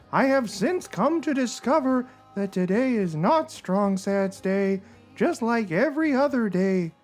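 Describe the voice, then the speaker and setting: Performer as a doleful, slow-talking cartoon misery. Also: mid-range voice. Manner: doleful, deliberate. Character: downcast, long-suffering cartoon character reading his journal. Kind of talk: slow dramatic reading of a moody blog.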